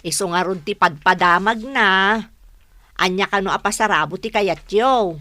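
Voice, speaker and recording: A woman with an Ilocano accent playing a lively, plain-spoken grown daughter and elder sister in a radio comedy drama. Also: medium pitch, normal speed, no room sound, natural voice. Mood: matter-of-fact. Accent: Ilocano, northern Philippines.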